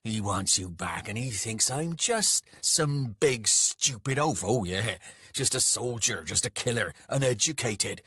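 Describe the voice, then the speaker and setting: A man's voice: fairly low pitch, expressive, a little slow, a bit bright, breathy edge, no room sound, clean studio sound, heavily acted. A man voicing a big, hot-tempered, jealous soldier in an audiobook, with a coarse, sneering manner and bellowed delivery. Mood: jealous, bitter. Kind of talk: dramatised audiobook dialogue.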